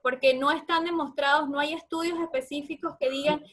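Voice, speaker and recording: A woman's voice: high-pitched, fairly even intonation, a little fast, crowd noise. A woman with a Venezuelan Andean accent answering questions as a doctor in an informal webinar, explaining in colloquial terms and addressing listeners directly. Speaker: guest lecturer, obstetrics doctor.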